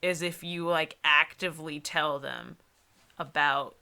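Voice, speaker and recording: A young woman with a bright, forward voice that sits a little low. She reads a book aloud dramatically and picks it apart in a casual chat. Her manner is sarcastic and exasperated. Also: measured pace, gravelly, clear, resonant tone. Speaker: co-host reading bad books aloud. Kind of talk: dramatic book reading with casual mocking commentary.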